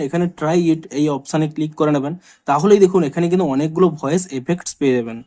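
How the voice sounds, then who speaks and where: A man's voice: fairly high pitch, mellow. A man hosting a tech tutorial, narrating step by step and addressing viewers directly in a repetitive, instructional way. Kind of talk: scripted how-to screen walkthrough narration.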